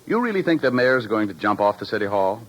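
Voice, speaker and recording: An actor playing a wry, easygoing private detective with glib, hesitant delivery in 1950s radio drama style. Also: male voice, lowish voice, sing-song, quick pace, poor audio. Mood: uneasy.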